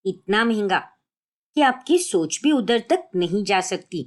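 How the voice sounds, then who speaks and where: A woman's voice: mid-range voice, lively intonation, measured pace, close to an everyday voice. Woman voicing a smug, boastful rich mother-in-law. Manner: boastful, listing grandly. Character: boastful rich middle-aged mother-in-law, smugly condescending.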